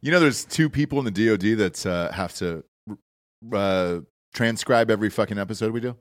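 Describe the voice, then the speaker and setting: A man's voice: fairly low pitch, brisk, dry recording, studio quality. A man hosting a podcast, joking in laid-back casual chat. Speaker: podcast host.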